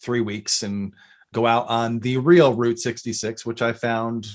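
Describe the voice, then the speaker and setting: A man's voice: medium pitch, little pitch movement, a little slow. A man hosting a podcast in casual chat, joking and rambling. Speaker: podcast host.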